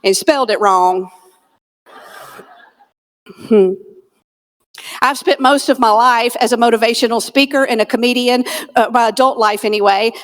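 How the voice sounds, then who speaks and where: A fairly high-voiced woman with a Southern drawl delivers a funny, heartfelt keynote, shifting from comic asides to hushed, dramatic storytelling. Her voice rings out through a big hall with a long tail of reverb. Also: moderate pace, pauses often. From Georgia, US. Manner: dramatic storyteller, drops to a near whisper on key lines, comic asides. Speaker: motivational keynote speaker and comedian. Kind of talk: humorous motivational keynote storytelling. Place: conference hall stage.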